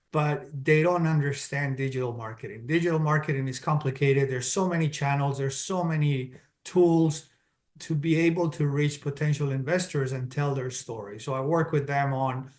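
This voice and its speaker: A middle-aged man with a Colombian accent and a fairly high voice, talking as a podcast guest. He is steady and businesslike, and he walks through his points one by one. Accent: Colombian Spanish. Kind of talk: interview answers, explaining step by step.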